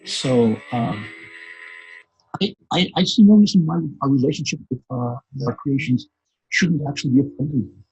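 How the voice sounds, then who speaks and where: A man with a husky voice being interviewed as an author, speaking haltingly and often repeating words as he thinks. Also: mid-range voice, expressive, a little mellow, poor audio. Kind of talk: podcast interview conversation.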